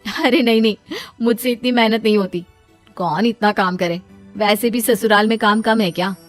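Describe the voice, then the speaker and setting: A woman's voice: mid-range voice, moderate pace, soft background music, lightly acted. A woman voicing a young, dutiful daughter-in-law in a cartoon story, earnest and chatty. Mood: reluctant. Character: young devout daughter-in-law, dutiful but reluctant worker.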